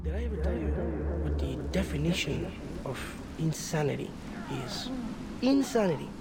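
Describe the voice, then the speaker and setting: A man playing an unhinged, sadistic young pirate warlord in a video game, volatile and profane, lurching between hushed menace and screaming. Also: fairly high pitch, very animated, moderate pace, creaky, very noisy. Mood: menacing, simmering. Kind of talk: video game cutscene acting. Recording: game audio with loud music behind. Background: loud music.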